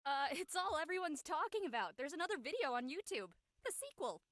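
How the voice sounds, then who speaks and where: A woman playing a breezy, cheeky, carefree high-school student in an amateur script reading. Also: big pitch swings, fairly quick, fairly bright tone. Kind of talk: amateur audio drama table read.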